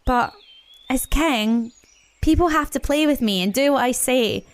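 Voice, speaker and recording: A woman's voice: high voice, lively intonation, measured pace. Woman playing a pompous, petulant badger. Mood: dejected. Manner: grandiose, childishly petulant. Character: pompous, lonely male badger who plays king. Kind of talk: children's storybook character voice.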